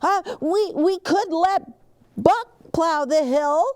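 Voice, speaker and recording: A woman voicing an excitable, friendly male snowplow driver in a children's storybook, exclaiming and cheering on his buddy. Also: very high voice, slow pace, no room sound, studio quality, voice pushed up. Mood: nervous.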